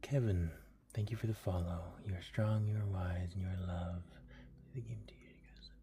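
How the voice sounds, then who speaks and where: A man with a deep, mellow voice whispers softly through a livestream. His tone is dark and relaxed, and he speaks in a gentle, comforting ASMR way. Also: moderate pace. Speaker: livestream host.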